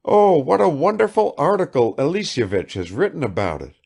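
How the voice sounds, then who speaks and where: A man's voice: fairly high pitch, huge pitch swings, creaky, darkish tone, breathy edge, pitched above the performer's own voice, full character voice. A man reading aloud and voicing an affected, chattering provincial woman who fires off questions without waiting for answers. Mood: gushing chatter. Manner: gushing, rapid-fire questions.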